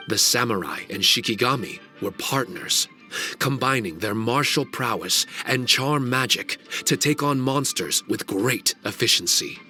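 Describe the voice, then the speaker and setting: A man voicing an ancient male guardian spirit in a video game cutscene, formal, measured and grave. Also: mid-range voice, sharp tone.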